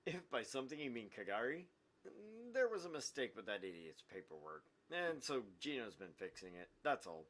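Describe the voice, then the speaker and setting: A man's voice: mid-range voice, big pitch swings, moderate pace, a bit bright. A man voicing a blunt, casually dismissive male enforcer in a visual novel. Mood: dry, dismissive.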